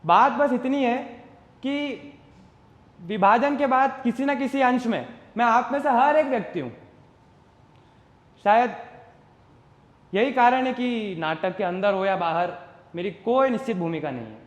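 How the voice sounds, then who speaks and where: A man performing a stage monologue as an uncertain, philosophical common man, speaking deliberately and reflectively straight to the audience. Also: extremely high pitch, expressive. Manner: deliberate, reflective, rhetorical, addressing the audience directly. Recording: roomy echo with long reverb.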